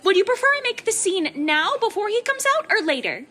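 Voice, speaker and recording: Woman acting sharp, high-voiced jealous wife. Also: very animated, fairly quick, heavily acted. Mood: irritated. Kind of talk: comedic radio play acting.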